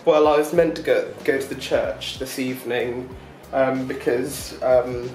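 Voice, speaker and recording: Guy vlogging at home, rambly and chatty, lots of ums, echoey room, soft music behind. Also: medium pitch, steady tone, a little slow, distant mic. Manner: rambling, chatty, with many fillers and short laughs. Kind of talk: casual daily vlog chat.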